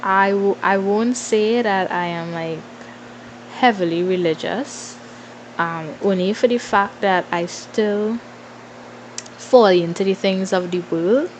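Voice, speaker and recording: Female vlogger, twenties, Trinidadian accent. Slow, informal Q&A chat with playful asides, some background noise. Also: mid-range voice, low-quality recording. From Trinidad and Tobago. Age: 20s. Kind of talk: casual Q&A chat to followers.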